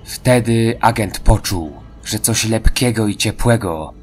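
A man's voice: fairly low pitch, slow pace. A man narrating a scripted horror story as an audio drama.

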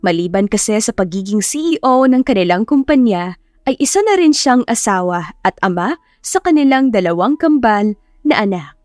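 A woman's voice: medium pitch, expressive, moderate pace, a little mellow, no room sound, studio quality, faint music behind. A woman narrating a scripted romantic story as an audiobook narrator.

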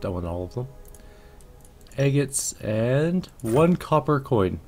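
A man's voice: medium pitch, big pitch swings, slow pace, darkish tone. A man giving casual let's play commentary on a video game as he plays. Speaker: gaming video host. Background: game music and effects.

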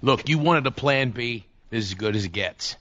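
An actor playing a gruff, bitter, foul-mouthed middle-aged American survivalist in a video game cutscene. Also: male voice, medium pitch, animated, normal speed, lightly acted. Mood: curt, explanatory. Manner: gruff, profane, cantankerous. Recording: dull game audio with loud noise.

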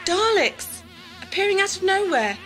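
A woman playing a sharp, questioning time-travel companion in a sci-fi audio drama. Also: very high voice, very animated, moderate pace, over music. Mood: alarmed. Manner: pointed, questioning. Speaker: actor. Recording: faintly noisy old cassette recording.

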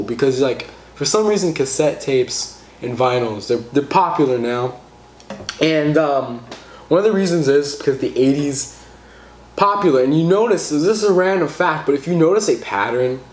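A young man in his teens casually explaining a gadget on his own channel, rambling and chatty with lots of fillers and restarts, recorded on a camera mic a few feet away at a table indoors. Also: mid-range voice. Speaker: vlogger. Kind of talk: casual tutorial vlog. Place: small room indoors at a table. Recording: echoey room with faint hiss.

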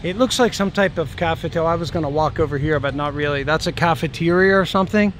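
A man's voice: on the high side, fast, a bit bright. A man walking and filming as he goes, musing aloud in a rambling way with frequent "right?" tag questions. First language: English. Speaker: travel vlogger. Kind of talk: casual walking commentary. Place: outdoors on city streets. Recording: outdoor street noise. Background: traffic and street noise.

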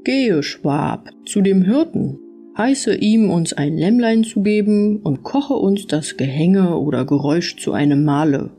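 A woman voicing a calm, kindly, all-knowing Lord in a fairy-tale audiobook, measured and patient. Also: on the low side, soft background music. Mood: patient, amused.

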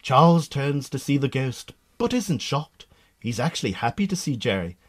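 A man's voice: medium pitch, big pitch swings, moderate pace. A man with a Northern Irish accent narrating a scripted radio drama as a storyteller.

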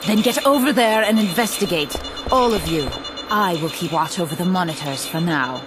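A woman's voice: fairly low pitch, normal speed, natural voice, rough recording. A woman playing a proud, imperious young villain's daughter in a video game, formal and dramatic. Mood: stern, commanding. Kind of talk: video game cutscene acting. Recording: loud music behind.

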